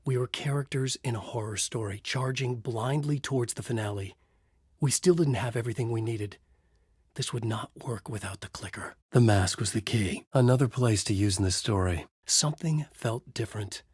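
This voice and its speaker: An actor playing a haunted, desperate horror writer, narrating in a brooding, intense noir style for a video game. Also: male voice, medium pitch, normal speed, a little mellow, husky, no echo, studio quality, lightly acted. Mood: hushed, intense. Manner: brooding, intense, terse delivery. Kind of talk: dramatic first-person noir narration.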